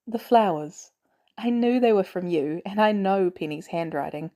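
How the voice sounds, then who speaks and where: Professional woman, voiced by a female reader: composed, smooth, mellow, dryly affectionate, fiction reading. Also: mid-range voice, expressive, normal speed, lightly acted. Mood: gently amused.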